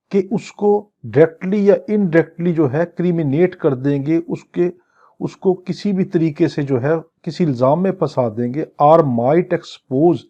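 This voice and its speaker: Slow, deliberate male law lecturer. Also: fairly high pitch, mellow, muffled recording. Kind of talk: classroom lecture, reading English statute lines then explaining in Urdu.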